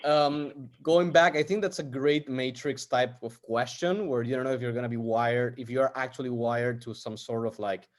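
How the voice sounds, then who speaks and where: A man's voice: medium pitch, fairly even intonation, moderate pace, few pauses. A man from Argentina, speaking as an interview guest in a casual, hesitant way with many fillers and restarts. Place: based in the U.S.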